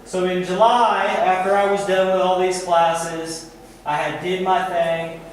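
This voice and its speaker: Echoey, high-pitched American man giving a talk. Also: little pitch movement. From Missouri, United States. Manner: deliberate, dramatic repetition and short phrases. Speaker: artist and teacher giving a talk. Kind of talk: storytelling artist talk to gallery visitors. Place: indoor gallery, recorded from a distance. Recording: very echoey gallery hall, distant mic, noisy.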